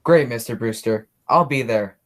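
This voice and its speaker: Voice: mid-range voice, normal speed, lightly acted. A performer playing a folksy, friendly neighborhood cop. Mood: eager, chatty. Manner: folksy, colloquial. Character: friendly, dim policeman and would-be playwright. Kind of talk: radio play acting, high school theatre.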